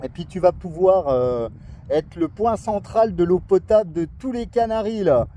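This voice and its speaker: A man's voice: sits high, lively intonation, normal speed, warm tone. A man chatting casually and enthusiastically outdoors as an amateur radio operator, in a friendly way, sometimes searching for his words. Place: outdoors at a scenic coastal spot.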